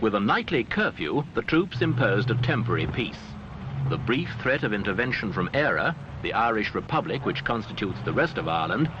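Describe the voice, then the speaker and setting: Male newsreel narrator, animated pitch, formal and dramatic phrasing, dull noisy archival soundtrack. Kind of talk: scripted documentary newsreel narration.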